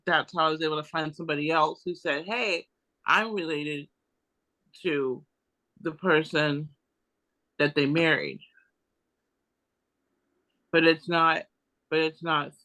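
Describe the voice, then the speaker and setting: A man chatting casually in a group discussion, halting as he thinks aloud. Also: a little high, steady tone, pushed and bright, poor audio. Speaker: participant in a genealogy group discussion. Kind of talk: casual chat.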